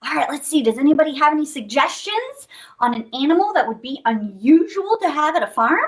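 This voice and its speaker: A woman's voice: high-pitched, brisk, rough recording. A woman hosting children's storytime, reading expressively and playfully, putting questions to young listeners. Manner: expressive, playful, asks listeners questions and adds sound effects. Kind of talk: animated picture-book read-aloud for young children.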